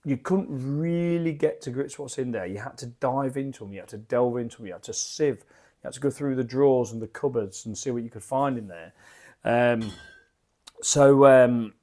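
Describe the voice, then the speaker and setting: A man with a croaky voice from a lingering cough, rambling in a chatty, self-deprecating way as he reviews beer at his home bar into a desk microphone. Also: mid-range voice, normal speed, darkish tone.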